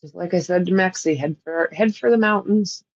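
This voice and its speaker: A woman's voice: lowish voice, brisk, no room sound, lo-fi sound. A woman with a Canadian accent hosting a livestream, chatting casually with viewers in a rambling way and laughing often. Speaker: livestream host. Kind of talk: casual chat with live viewers.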